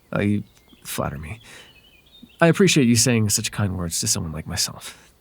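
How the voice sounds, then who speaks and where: Casting: male actor as flirtatious fae wanderer for ASMR roleplay. Husky, animated delivery, gentle and courteous with playful hesitation. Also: lowish voice, moderate pace, full character voice. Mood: flattering, bashful.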